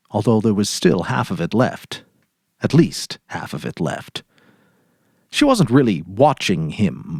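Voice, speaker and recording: A man narrating a literary short story dramatically, in a measured, deliberate way. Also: on the low side, a little mellow, no echo, studio quality.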